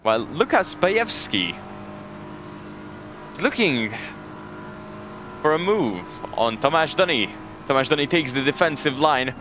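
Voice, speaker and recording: A man's voice: on the high side, very animated, unhurried, pauses often, noisy background. A man from Hungary with a Hungarian accent, casually commentating a sim racing stream and chatting with viewers. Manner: chatty, jokes and thanks chat viewers. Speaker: race commentator.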